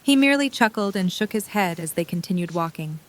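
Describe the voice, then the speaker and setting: A woman's voice: on the low side, animated, a little fast, rough recording. A woman reading scripted story narration for an audio drama.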